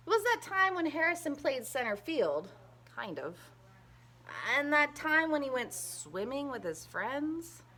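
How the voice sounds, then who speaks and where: A woman reading a children's picture book aloud in an expressive, emphatic storytelling manner. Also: sits high, measured pace.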